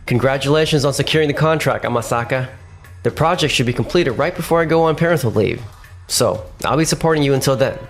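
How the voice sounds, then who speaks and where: A man playing an earnest, courteous office professional in an anime-style dub, speaking in a polite, measured way. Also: medium pitch, natural voice. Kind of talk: anime-style dramatized dub acting and narration. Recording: music bed behind the voice.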